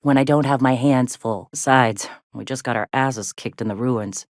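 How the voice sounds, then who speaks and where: A woman voicing a gruff, plainspoken middle-aged frontier medic in a video game, with a blunt, folksy way of talking. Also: very deep voice, moderate pace, no echo, clean studio sound. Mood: curt, sardonic. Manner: folksy, blunt, drawling slang with swearing. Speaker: video game character, medic and deputy leader.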